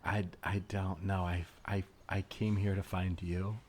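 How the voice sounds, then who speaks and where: A man's voice: sits low, little pitch movement, measured pace, darkish tone. A man playing a timid yet forward, smitten young woman in improvised comedy, speaking hesitantly and earnestly.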